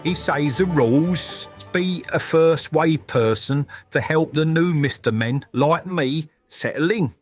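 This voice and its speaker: A cheerfully vague, forgetful giant of a cartoon man played by a male actor in an audio drama. His voice sits fairly high and is closely recorded, and he rambles as he recounts things. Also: slow pace, hardly any pauses, no echo, soft background music. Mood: animated, recounting. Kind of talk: mock documentary interview in audio drama.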